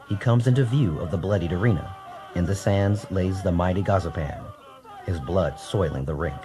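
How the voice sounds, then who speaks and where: A man narrating a scripted radio drama in a theatrical storytelling manner. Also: low-pitched.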